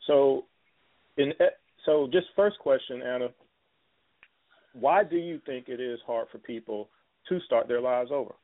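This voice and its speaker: A man's voice: mid-range voice, unhurried, vocal fry, long pauses. A man hosting a talk radio show, speaking loosely and casually with many fillers. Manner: loose, filler-heavy, conversational. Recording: tinny phone-like line.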